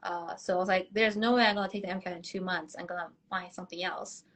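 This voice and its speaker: A woman's voice: on the low side, fairly even intonation, fast talker. Bubbly young woman, laughing often. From South Korea. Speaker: podcast guest, dentist. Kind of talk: casual interview answers.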